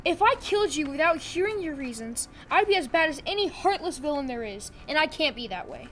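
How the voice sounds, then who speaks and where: A woman playing a principled, gentle young hero in an audio drama, earnest and reflective. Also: high-pitched, lively intonation, brisk. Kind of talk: scripted audio drama acting.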